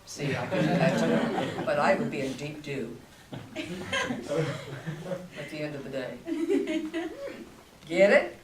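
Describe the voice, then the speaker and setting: A woman's voice: sits low, expressive, takes it slowly, long pauses, a loud crowd. Older woman voice teacher, roomy distance. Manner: demonstrative, coaching, talks in bursts. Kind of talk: voice masterclass talk. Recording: roomy, distant, faint hum.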